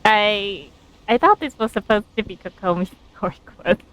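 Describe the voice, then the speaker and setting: A woman's voice: medium pitch, moderate pace, fairly bright tone, poor audio. A woman giving casual, amused commentary as she streams a game, musing aloud in a hesitant way.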